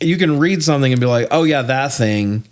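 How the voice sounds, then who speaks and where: A man's voice: mid-range voice, animated, brisk. A man co-hosting a casual film review podcast, recapping in a conversational way and swearing freely. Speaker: podcast co-host.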